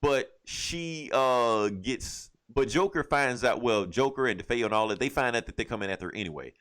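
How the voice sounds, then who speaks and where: A man's voice: mid-range voice, animated, normal speed, a bit bright. A man co-hosting a podcast, casually retelling a story in a rambling, irreverent, slangy way with plenty of swearing. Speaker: podcast co-host. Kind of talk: casual recap of a comic book, profane banter.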